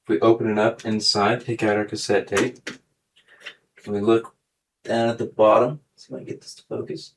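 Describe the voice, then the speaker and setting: A man with a slightly low voice talks casually as he hosts a hands-on demonstration. The recording carries some faint noise and small clicks behind his words. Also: level tone, moderate pace. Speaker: host of a tech demonstration video. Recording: clearly noisy recording with clicks.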